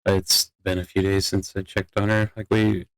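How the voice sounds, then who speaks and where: A player voicing a casual, low-key member of a fantasy court in an improvised game roleplay. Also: flat delivery, normal speed, no room sound, rough recording. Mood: easygoing. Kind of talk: improvised Minecraft roleplay in character.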